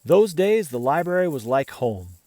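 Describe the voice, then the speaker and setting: A man reading a children's picture book aloud as an expressive storyteller, pausing for casual asides and questions to the listener. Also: on the high side, no room sound, studio quality.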